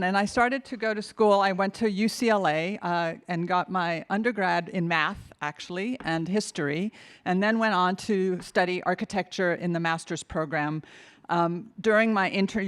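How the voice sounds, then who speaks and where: A woman from Finland, likely in her fifties, giving a conference keynote talk, a little nervous, with many fillers and restarts. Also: mid-range voice. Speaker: keynote speaker. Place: conference hall.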